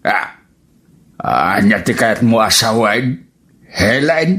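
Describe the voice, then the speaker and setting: A man's voice: fairly low pitch, expressive, slow, fairly bright tone. An older man with an Ilocano accent playing a lecherous, whiny old husband in a comic radio drama, given to exaggerated groaning and yelping. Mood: eager, playful.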